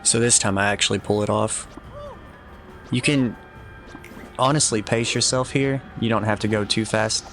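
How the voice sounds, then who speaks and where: A young man giving relaxed, instructive commentary over a game walkthrough, easygoing and a little self-deprecating. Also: fairly low pitch, normal speed, long pauses, soft background music. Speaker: gameplay guide commentator.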